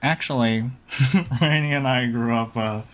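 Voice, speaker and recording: A man's voice: mid-range voice, unhurried. A young man co-hosting a podcast, chatting in an easygoing way with frequent short agreements and laughs. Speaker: podcast co-host. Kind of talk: casual chat.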